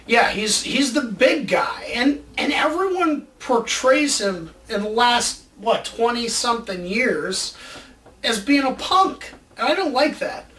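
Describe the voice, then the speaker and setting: A man's voice: very high voice, big pitch swings, slow pace, sharp tone. A man hosting a casual chat show about movies, rambling along with enthusiasm. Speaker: show host. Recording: distant mic, faint background noise.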